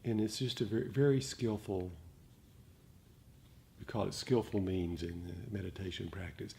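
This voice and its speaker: A man giving a dharma talk as a meditation teacher, speaking reflectively and gently, with frequent pauses. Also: low voice, steady tone, gravelly. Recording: noticeable background noise.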